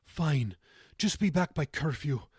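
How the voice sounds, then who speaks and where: Pious grandmother, voiced by a male narrator, earnest, wounded, protesting, slightly raised pitch, dry audiobook sound. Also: animated, slow pace, a little mellow, breathy edge, no room sound, heavily acted. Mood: pleading. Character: pious, pained grandmother pleading with her grandson.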